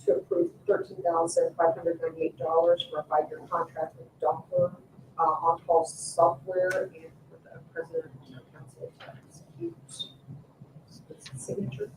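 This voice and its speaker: A woman's voice: lowish voice, very animated, moderate pace. A woman council member making formal motions at a meeting. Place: indoor town council meeting room. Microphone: distant room microphone. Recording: echoey, distant mic with clear noise.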